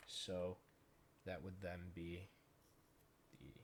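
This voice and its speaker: A man casually talking through a software tutorial step by step, in an informal way. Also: deep voice, steady tone, drawn-out words. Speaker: tutorial host, gaming content creator. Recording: noisy home recording with keyboard clicks.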